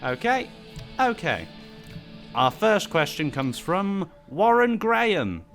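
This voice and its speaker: A man's voice: high-pitched, big pitch swings, slow, fairly bright tone, faint music behind. A man hosting a casual Q&A with friends, reading out viewer questions in a dry, teasing way.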